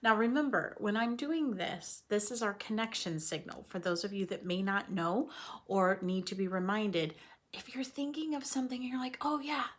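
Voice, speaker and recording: Slightly husky woman reading aloud to children. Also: mid-range voice, moderate pace. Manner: expressive and coaxing, addresses children directly with frequent questions. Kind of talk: children's read-aloud lesson, explaining words simply.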